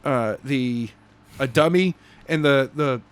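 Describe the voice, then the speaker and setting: A man's voice: mid-range voice, slow pace, clean tone. A man co-hosting a podcast, chatting casually and animatedly about a story. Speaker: podcast co-host. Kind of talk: casual chat discussing a story.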